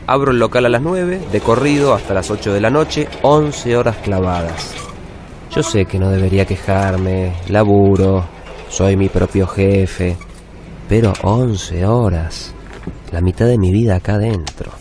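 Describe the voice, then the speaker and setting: A man's voice: fairly low pitch, a little slow, pauses often, noisy background, natural voice. An actor playing a wistful forty-year-old bookshop owner with a Rioplatense Argentine accent, narrating his routine life in a reflective radio drama. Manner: reflective, storytelling, self-correcting. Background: music bed.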